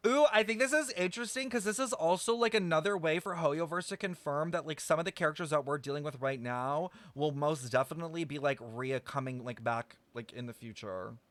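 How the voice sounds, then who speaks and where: A young man streaming casual, playful game reactions, excitable and slangy with plenty of swearing. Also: fairly high pitch, brisk, hardly any pauses, no echo. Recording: game music behind.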